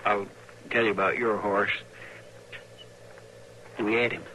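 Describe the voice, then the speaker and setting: An American actor playing a loyal frontier deputy in an old-time radio western, with folksy rural phrasing and a hesitant, rambling manner. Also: male voice, on the low side, level tone, slow, bright, forceful tone. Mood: hesitant, sheepish. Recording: noisy vintage radio recording.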